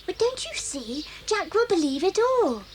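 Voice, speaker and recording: A woman's voice: very high voice, big pitch swings, measured pace, background noise, exaggerated voice. An actress playing a bossy, boastful young schoolgirl in a children's audio drama. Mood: excited, scheming. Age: child figure. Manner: boastful, commanding.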